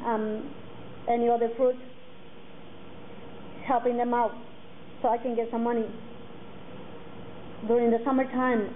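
A woman in her forties, a native Mixtec speaker, speaking earnestly to students and halting often with fillers and restarts. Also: fairly high pitch. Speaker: guest speaker. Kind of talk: personal talk to students. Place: classroom with curtains, indoors. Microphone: table mic on a stand. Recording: tinny phone-like sound, faint noise.

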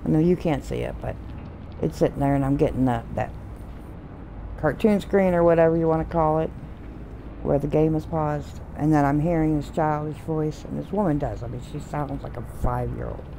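A middle-aged woman streaming a game from home on a desk mic, talking softly in meandering, complaining asides. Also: sits low, fairly even intonation, moderate pace, creaky, slight background noise, poor audio. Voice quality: soft voice. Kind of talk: rambling casual commentary. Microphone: desk mic with headphones. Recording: loud game music behind voice. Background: game music.

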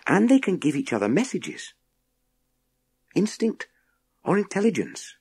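Man voicing an eager, high-pitched boy. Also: very animated, darkish tone, husky, no echo, exaggerated voice. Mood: earnest, thoughtful. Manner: halting, stammering, urgent. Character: eager, hesitant, earnest young boy.

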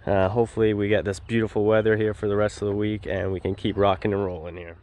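A man's voice: on the low side, little pitch movement, quick pace, darkish tone. A young man, a pond builder, casually walking through an outdoor backyard job site and explaining the work, with frequent "uh" fillers, filmed on a handheld camera at arm's length. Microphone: handheld wide-angle camera at arm's length.

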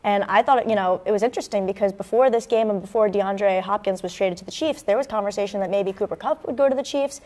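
A young woman with an American accent talks sports as a co-host at a studio desk. She speaks quickly, at an ordinary middle pitch, in a conversational commentary style. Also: a little mellow. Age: young adult, early 20s. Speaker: student sports show co-host. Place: indoor campus TV studio at a desk. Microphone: lapel mic.

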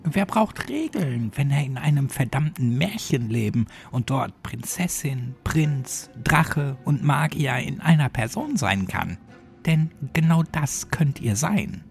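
A menacing demonic girl, acted by a male narrator in a horror story. The voice is lifted high and swings in pitch, sly and persuasive, with a cold taunt in it. Music plays underneath. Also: animated, takes it slowly, creaky. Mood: defiant mocking.

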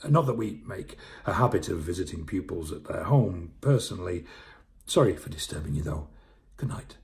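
A man voicing a polite, calm, determined schoolteacher in a storybook, reassuring in manner. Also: deep voice, moderate pace, gravelly. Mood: falsely cheerful.

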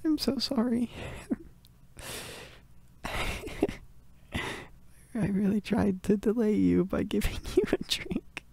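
Young woman, crying, girlfriend roleplay, whispery and apologetic, mellow, gravelly. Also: medium pitch, dry recording. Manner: soft, apologetic, halting, often whispering. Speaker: audio roleplay performer. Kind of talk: girlfriend comfort roleplay addressed to the listener.

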